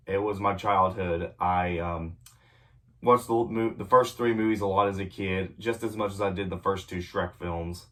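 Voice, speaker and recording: A man's voice: sits low, fairly bright tone. A young adult man with a cold, reviewing movies in a casual, rambling way, full of ums and self-corrections. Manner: rambling, frequent ums and self-corrections, clears throat often. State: sick with a cold, voice a bit off. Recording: voice a bit distant from the mic.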